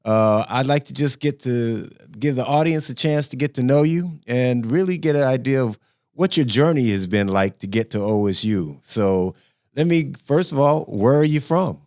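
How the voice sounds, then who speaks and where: A man's voice: mid-range voice, lively intonation, normal speed, a little mellow, no room sound, studio quality. A man hosting a podcast, asking questions in a friendly, joking way. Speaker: podcast host. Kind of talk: casual interview hosting.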